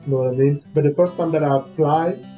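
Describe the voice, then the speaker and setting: A man from Cameroon, telling his own story in a casual interview, halting and often checking in with the listener. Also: a little high, steady tone. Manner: halting, leans on "you know what I mean". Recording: dull lo-fi sound with faint music.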